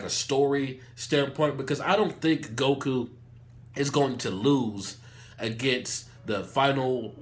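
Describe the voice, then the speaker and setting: A man who has a cold and sounds nasal, giving a hyped, profane, rambling review of an anime episode. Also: medium pitch. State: sick, nasal. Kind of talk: excited casual review vlog.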